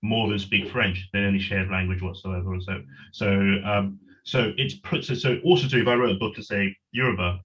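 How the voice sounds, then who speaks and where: Deep-voiced man on a dull call line. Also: steady tone, moderate pace, few pauses, fairly bright tone. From Nigeria. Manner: rambling, self-correcting, pragmatic. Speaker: panel guest, writer. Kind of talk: discussion, webinar.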